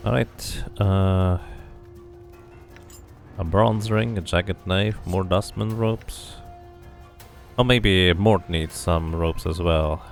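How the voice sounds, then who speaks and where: Male game streamer, low and gravelly, musing through a playthrough and reading text aloud over faint music. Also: steady tone, very slow, long pauses. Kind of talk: casual game commentary mixed with read-aloud item text.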